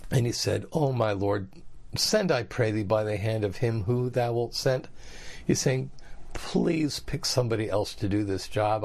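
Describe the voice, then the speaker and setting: A man's voice: on the low side, a little mellow. A man reading scripture aloud and explaining it in plain, everyday words as a Bible teacher. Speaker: preacher.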